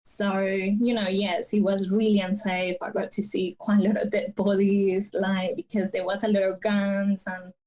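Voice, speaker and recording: A woman from Colombia, a podcast guest, telling her life story slowly and haltingly, with many pauses and fillers. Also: medium pitch, little pitch movement, rough recording. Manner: slow, soft-spoken, halting with many fillers. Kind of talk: personal storytelling interview.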